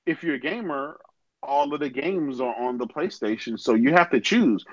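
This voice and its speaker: A man's voice: medium pitch, expressive, moderate pace. Argumentative man on a lo-fi mic. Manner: argumentative, pushes points. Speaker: podcast co-host. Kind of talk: casual gaming debate. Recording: dull dry lo-fi mic.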